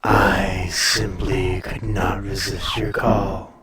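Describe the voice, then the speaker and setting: A man playing an ancient, proud dragon in a fantasy audio roleplay, speaking formally and deliberately with a calm menace. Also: deep voice, a crowd in the background. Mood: impressed, measured. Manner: slow, formal, deliberate speech with long pauses. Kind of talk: scripted fantasy audio roleplay, addressed to listener.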